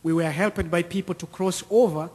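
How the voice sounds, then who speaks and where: A man in his thirties with an East African accent, giving a personal testimony to an audience in deliberate, short repeated phrases. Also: high-pitched, a little mellow, husky. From Central or East Africa, near Lake Tanganyika. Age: 30s. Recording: echoey hall with long reverb.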